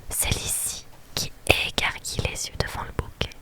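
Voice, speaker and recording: A reader giving a whispered ASMR reading of a novel on a live stream, pausing now and then to thank viewers. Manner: soft steady whisper, thanks viewers between passages.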